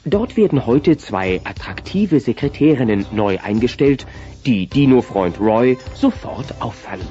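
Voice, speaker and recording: A male narrator tells a children's audio story in a mellow, warm voice with lively intonation. The recording is dull, with faint music behind. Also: mid-range voice, a little slow. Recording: dull cassette transfer.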